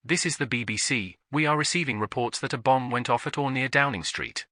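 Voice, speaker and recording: Bright, dry-sounding male emergency announcer. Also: medium pitch, animated, a little fast, no echo. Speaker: continuity announcer. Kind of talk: scripted mock emergency broadcast announcement.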